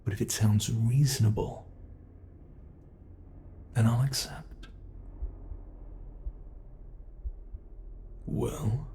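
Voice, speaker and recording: Man playing a gravelly, taunting monster. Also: fairly low pitch, darkish tone, slight background noise. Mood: coaxing, smug. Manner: taunting, unhurried, theatrical. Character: sinister playful male monster hunting its captive. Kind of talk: ASMR horror roleplay.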